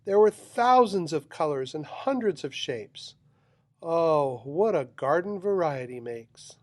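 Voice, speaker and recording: A man's voice: fairly high pitch, expressive, slow, no echo. A man reading a children's picture book aloud with dramatic, rhythmic delivery of rhyming verse. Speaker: storybook reader.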